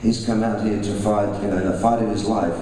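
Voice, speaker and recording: A Russian-born man raised in Australia, a boxing promoter introducing fighters at a press conference with halting, restarting sentences. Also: lowish voice, fairly even intonation, dark tone, a little hiss or hum. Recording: echoey hall, mic far off.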